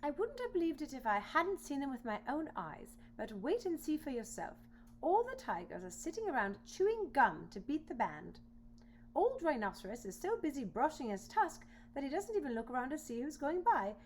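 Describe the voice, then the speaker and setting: A woman's voice: high-pitched, sing-song, moderate pace, darkish tone, voice pushed up. A woman voicing an indignant, gossiping male boar in a children's storybook, huffy and emphatic as it grumbles. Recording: noisy home recording with hum.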